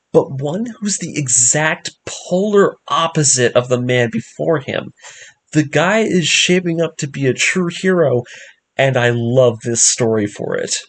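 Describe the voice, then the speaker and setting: A man narrating a scripted story breakdown as an enthusiastic fan host, with expressive, emphatic delivery. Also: mid-range voice. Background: background music.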